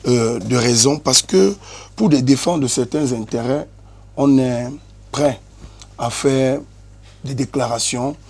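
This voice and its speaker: A man, debating on a TV panel, starting out halting and growing emphatic. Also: mid-range voice, a little mellow, breathy edge. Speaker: panel guest.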